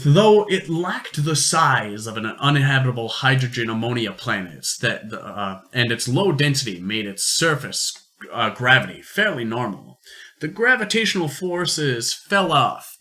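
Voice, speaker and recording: A man narrating an amateur science-fiction radio play in deliberate storytelling phrases. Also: medium pitch, animated, pushed and bright.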